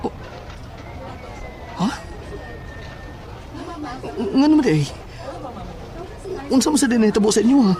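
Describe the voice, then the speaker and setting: An actor playing a talkative, easily spooked young schoolmate in a horror audio drama, with a Cebuano accent. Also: male voice, very high-pitched, animated, measured pace, pauses often, a crowd in the background. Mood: worried.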